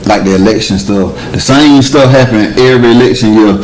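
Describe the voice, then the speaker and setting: A man, talking in an animated, emphatic way in a casual discussion. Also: brisk, a little hiss or hum, rough recording. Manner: animated, emphatic, rising pitch when arguing.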